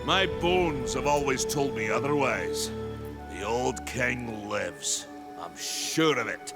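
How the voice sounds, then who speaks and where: A man playing a proud, stern old warrior in a fantasy video game, gravelly, with slow, weighty, archaic speech. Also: mid-range voice, lively intonation, over loud music. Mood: grave, brooding. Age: older. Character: proud old male warrior maker, stern, battle-worn. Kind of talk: video game cutscene acting.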